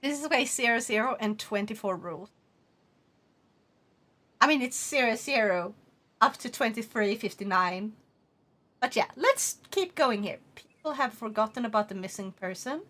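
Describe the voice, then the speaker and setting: A woman's voice: on the high side, animated, moderate pace, a bit bright. A woman from Sweden with a Swedish accent, rambling animatedly in a casual livestream chat, swearing and laughing often. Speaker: streamer.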